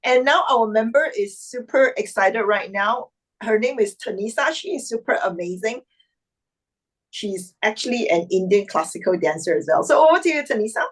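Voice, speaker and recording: Brief: upbeat female host of an online club meeting, a touch high-pitched, video call. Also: expressive, normal speed, low-quality recording. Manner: upbeat, exclamatory. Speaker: meeting host introducing guests.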